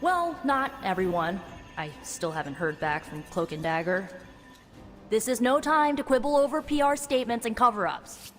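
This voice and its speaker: A woman's voice: a little high, lively intonation, normal speed, a bit bright. A woman playing a sardonic young hero in a video game cutscene, blunt, wry and exasperated. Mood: bitter, exasperated. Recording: long reverb, faint music.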